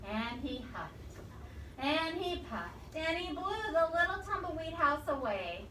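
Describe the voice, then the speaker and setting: A woman with a high voice reads a picture book to young children in an expressive teacherly way, stopping to quiz them. She is some distance from the microphone, and there is clear noise behind her. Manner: expressive, pausing to quiz the listeners. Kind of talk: storybook read-aloud with questions to children. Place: indoor room with children present. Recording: echoey, distant microphone, noisy room.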